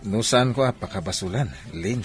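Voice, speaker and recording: A man's voice: on the low side, normal speed, close to an everyday voice. A man with a Filipino accent playing a smitten boss who questions and coaxes in a radio drama. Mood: coaxing. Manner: coaxing, questioning. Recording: loud music behind broadcast dialogue. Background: loud music.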